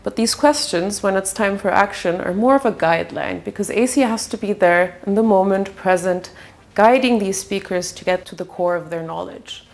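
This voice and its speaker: A woman from an agency team explains how they prepare, plain and matter-of-fact. Her voice is gravelly, with a lot of vocal fry. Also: mid-range voice, moderate pace. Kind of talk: interview.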